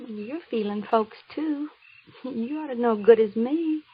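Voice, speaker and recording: An actress playing a steadfast, affectionate young frontier wife in an old radio drama, with folksy rural American speech. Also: female voice, a little high, unhurried, slightly rough. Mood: gently firm. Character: loving, steadfast pregnant frontier wife, gently teasing. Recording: dull, noisy old radio recording.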